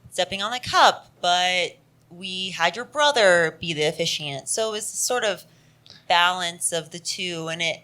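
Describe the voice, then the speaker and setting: Bright-voiced woman from the audience. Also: fairly low pitch, animated, a little slow. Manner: chatty, apologetic, not used to mics. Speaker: audience member asking advice. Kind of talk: live on-stage conversation. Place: live venue stage with audience. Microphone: handheld microphone.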